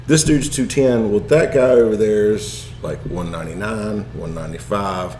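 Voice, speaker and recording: A man's voice: lowish voice, moderate pace, a little mellow, a little hiss or hum. A man chatting casually as a podcast guest, telling wry, self-deprecating anecdotes.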